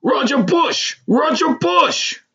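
A man voicing a big, excitable former bully in a storybook, loud and overjoyed. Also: very high-pitched, takes it slowly, creaky, lo-fi sound, voice pushed up, heavily acted. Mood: excited yelling.

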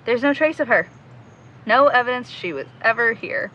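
A woman's voice: a little high, animated, moderate pace, pushed and bright, dull audio, slight background noise. A woman playing an earnest, stubborn young investigator in a naturalistic audio drama, discouraged but driven. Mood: frustrated, dejected.

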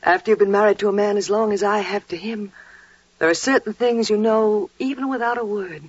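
An actress playing a devout, quietly joyful older biblical wife with reverent, deliberate delivery in a 1940s radio drama. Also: female voice, medium pitch, breathy edge, no echo, natural voice. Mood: calm. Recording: muffled, dry vintage 1940s radio recording.

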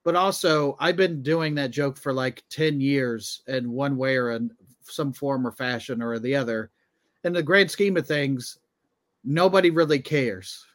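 A man's voice: medium pitch, measured pace, no room sound. A man in his thirties, a comedian and podcast co-host, telling things in a casual conversational way. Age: 30s.

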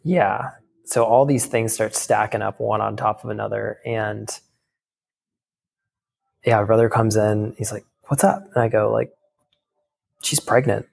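A young man telling a candid personal story in casual talk, halting, with many fillers and restarts. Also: fairly low pitch, fairly even intonation, vocal fry, a little mellow. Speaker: guest.

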